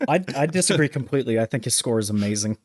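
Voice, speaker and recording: A man's voice: mid-range voice, expressive, rapid-fire, no echo. A man co-hosting a film review podcast, chatting casually and stating his opinions firmly. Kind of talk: casual movie discussion.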